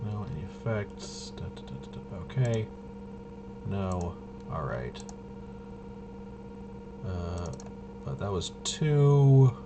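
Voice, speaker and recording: A man thinking aloud through a tabletop game walkthrough, casually muttering to himself in a halting way and trailing off. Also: on the low side, noisy background. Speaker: solo tabletop RPG player demonstrating gameplay.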